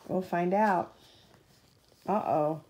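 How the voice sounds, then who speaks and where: A woman reading a children's story aloud as a mother at bedtime, in an animated way, pausing to put questions to a child. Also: on the low side.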